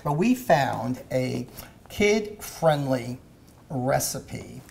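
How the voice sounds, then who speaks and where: A man hosting a kids' cooking show in a home kitchen, talking in a halting way with many "uh" fillers. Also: medium pitch, very animated, creaky, a little mellow, a little hiss or hum. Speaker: host.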